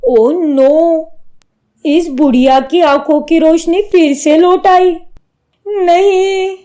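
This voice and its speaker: Woman doing a sly young cartoon daughter-in-law, high voice, theatrical and wheedling, not rushed. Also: steady tone, dark tone, exaggerated voice. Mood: horrified.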